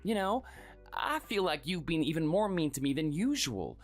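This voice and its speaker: A performer voicing a meek, sickly man in his thirties who speaks softly and hesitantly, always apologizing. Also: a little high, very animated, moderate pace, soft background music. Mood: pleading. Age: 30s. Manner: soft, hesitant, pleading. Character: meek sickly young husband, timid and apologetic.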